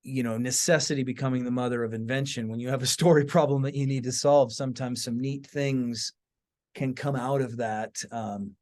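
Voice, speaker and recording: A man with a medium-pitched voice hosts a podcast discussion. He speaks informally and with engagement, as in a relaxed chat between colleagues, often checking that his listener follows. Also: normal speed. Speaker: podcast host. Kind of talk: casual conversational discussion.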